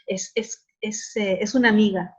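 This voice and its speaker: The audio is a little muffled and lo-fi. A middle-aged woman speaks at an average pitch in a reflective interview style. She is earnest, often restarting phrases as she looks for the exact word. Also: steady tone, moderate pace, husky. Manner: earnest, searching for words with repeated starts. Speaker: academic interviewee.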